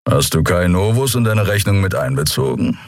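A man's voice: low-pitched, animated, moderate pace, dry recording, clean studio sound. A man voicing a menacing, sardonic male alien crewman with fangs and a growling delivery in an audiobook. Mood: needling. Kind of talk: audiobook character voice.

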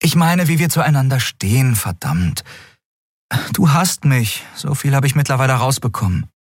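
A man voicing an impulsive, stubborn young woman who captains an airship, earnest and quick to argue. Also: medium pitch, animated, dry recording, studio quality, voice pushed up. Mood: pleading.

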